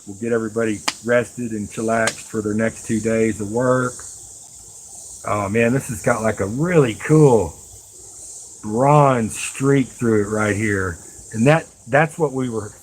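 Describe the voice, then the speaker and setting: A man's voice: mid-range voice, moderate pace, poor audio. Casual, folksy man rambling over background noise. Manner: rambling, folksy, addresses viewers directly. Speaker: live streamer and knife maker. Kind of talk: casual live stream chat while working.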